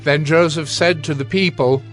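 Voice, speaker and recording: Measured male scripture narrator over soft music. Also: fairly high pitch, steady tone.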